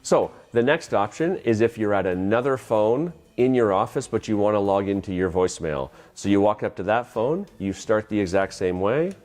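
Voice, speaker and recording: A man's voice: fairly low pitch, animated, normal speed, hardly any pauses, darkish tone, faint music behind. A man presenting an instructional tutorial, explaining things plainly step by step. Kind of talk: instructional explanation of phone system use.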